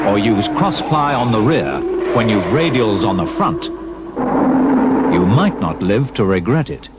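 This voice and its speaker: A man delivering a scripted road safety warning as a film narrator. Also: medium pitch. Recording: very noisy old film soundtrack.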